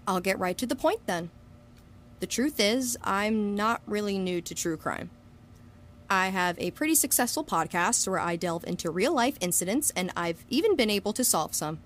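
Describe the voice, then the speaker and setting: A woman's voice: mid-range voice, lively intonation, normal speed, fairly bright tone, slight background noise, natural voice. A woman playing a confident, scheming young student and true-crime podcaster in an audio drama, polite but pointed. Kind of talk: scripted audio drama acting.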